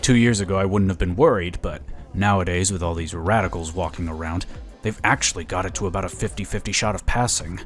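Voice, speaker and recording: An actor playing a slick, smug lobbyist in a sci-fi audio drama, chatty and condescending. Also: male voice, deep voice, fast talker, soft background music, close to an everyday voice. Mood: confiding, scheming. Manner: chatty, smooth-talking, condescending. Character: smug, slick, scheming male political lobbyist.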